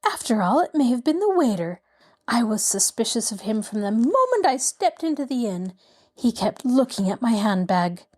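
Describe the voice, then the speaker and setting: A woman's voice: a little high, big pitch swings, normal speed, darkish tone. A woman voicing a genteel middle-aged lady in distress who pleads breathlessly and near tears. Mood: eager, fluttery.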